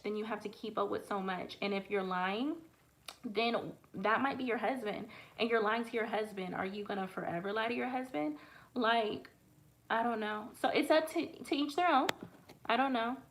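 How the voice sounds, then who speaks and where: A woman's voice: on the high side, bright, forceful tone. A woman in her late twenties hosting a casual livestream and answering viewer questions as a dating coach. She talks frankly, with lots of fillers. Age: late 20s. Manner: frank, conversational, many fillers.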